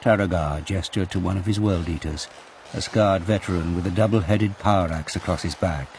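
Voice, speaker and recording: A man narrating a dark war story as a grave storyteller in an audio drama. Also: low-pitched, fairly quick, slight background noise. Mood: grave, dramatic.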